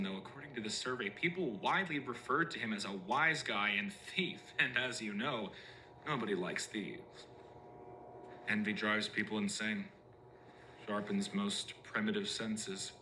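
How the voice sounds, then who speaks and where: An actor playing a self-assured, persuasive male official in a horror drama, speaking in a deliberate, theatrical and emphatic way. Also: fairly low pitch, sharp tone, slight background noise, rough recording. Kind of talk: scripted analog horror drama acting.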